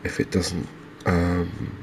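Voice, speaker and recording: A soft-spoken man giving relaxed, unhurried ASMR commentary on a video game, speaking close to the mic. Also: deep voice, over music. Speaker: gaming video creator. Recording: clear background noise, ambience.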